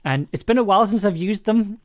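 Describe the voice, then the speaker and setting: A man chatting casually on a livestream as he works, enthusiastic but halting, repeating words and letting thoughts trail off. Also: high voice, expressive. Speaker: audio drama maker livestreaming an editing session. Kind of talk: casual livestream chat while working.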